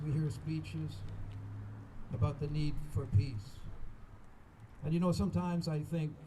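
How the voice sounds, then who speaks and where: A man giving an earnest, deliberate closing address as host of a ceremony, speaking in short phrases with pauses and gently exhorting his listeners. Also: fairly high pitch, noisy background.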